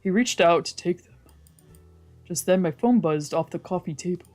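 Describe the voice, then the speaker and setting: A woman's voice: lowish voice, normal speed, darkish tone, soft background music. A woman streamer reading a visual novel aloud as narration, with casual commentary of her own.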